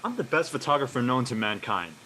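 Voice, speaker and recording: A man acts out a conceited, bossy teenage boy in an audio drama. The voice is sharp and rough-edged, swinging in pitch with impatient, boastful delivery. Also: animated, quick pace. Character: Kellen, vain, bossy teenage high school boy. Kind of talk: conversational acting in an online audio play.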